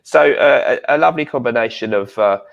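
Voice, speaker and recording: A man's voice: mid-range voice, brisk, vocal fry, muffled recording. A man hosting a chatty online interview, speaking in a polite, complimentary and somewhat hesitant way. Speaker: host of a fragrance interview show.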